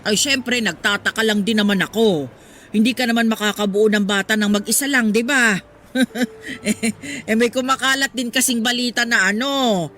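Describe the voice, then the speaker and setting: A woman's voice: on the high side, moderate pace, fairly bright tone, a little hiss or hum, natural voice. A woman playing a nosy, judgmental older village neighbour in a radio drama, prying and sanctimonious while feigning concern. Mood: gossipy, sly.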